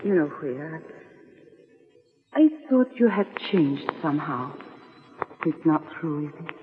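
A woman's voice: low voice, lively intonation, takes it slowly, slight background noise. An actress playing a naive, lovestruck and defiant young woman in a 1940s radio melodrama. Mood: lovestruck, anxious. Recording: muffled, narrow-band old radio recording, reverberant.

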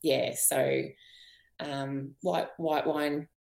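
A woman's voice: low voice, steady tone, extremely slow, gravelly, a little mellow, roomy sound. An Australian woman in her fifties, a guest in a podcast interview, telling her own story candidly with many ums and light laughs while losing her voice. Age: 50s. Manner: chatty, many ums and you knows, frequent light laughs.

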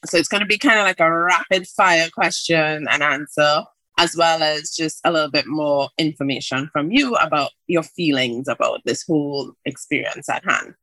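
Woman podcast host, bright crisp voice, dry close sound, excited friendly questions. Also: low voice, moderate pace, no room sound, low-quality recording. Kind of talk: podcast interview.

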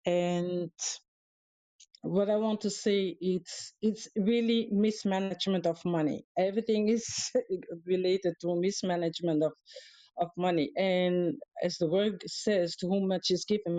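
A woman with a Dutch accent, Dutch her first language, calling in as a guest and speaking haltingly with many fillers and restarts. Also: lowish voice, fairly even intonation, dark tone, no room sound. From Europe. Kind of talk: casual talk on a live audio show. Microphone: calling in remotely.

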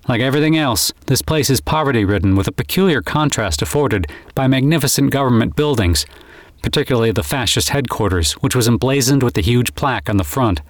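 A man's voice: medium pitch, dry recording. Male reader, mid register, scripted war diary narration, dry studio sound. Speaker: reader of a wartime officer's diary.

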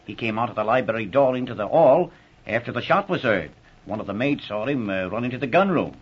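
A muffled old radio recording of a humble, flustered police subordinate. His voice is husky and mid-pitched, and he talks in a hesitant, folksy, deferential way. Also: expressive, a little fast. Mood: earnest, deferential. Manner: hesitant, folksy, ungrammatical speech. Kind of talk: old-time radio drama acting.

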